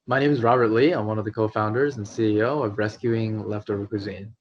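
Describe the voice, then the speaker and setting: A man's voice: on the low side, steady tone, fast, lo-fi sound, slight background noise. A man who runs a nonprofit, speaking from his apartment in a hesitant way with many fillers and restarts. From New York. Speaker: nonprofit CEO. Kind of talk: video call interview.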